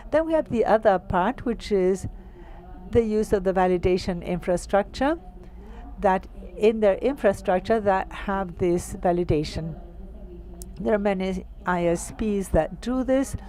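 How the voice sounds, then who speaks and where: A woman's voice: medium pitch, unhurried, warm tone. A woman from Colombia with a Colombian accent, answering an audience question in an explanatory way and piling up examples.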